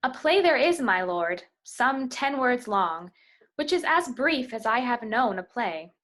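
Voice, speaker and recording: A disdainful courtier acted by a woman addresses a ruler with deference in Shakespearean verse. The voice is bright and forward, pitched a bit high, as in an amateur play reading on a video call. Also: big pitch swings, unhurried, exaggerated voice. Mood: deferential, disdainful.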